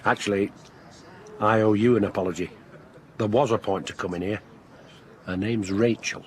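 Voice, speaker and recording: A man's voice: fairly low pitch, steady tone, moderate pace, lightly acted. An actor playing an easygoing, wry male detective constable in a radio drama, informal and dry. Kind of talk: naturalistic radio drama acting.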